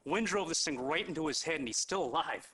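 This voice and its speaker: A blunt rescue worker from a disaster video game. His voice is high for a man and forceful. He speaks with gruff urgency, handing casualties over to a doctor. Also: animated, fairly quick, a little hiss or hum. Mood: urgent. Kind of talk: video game live-action acting.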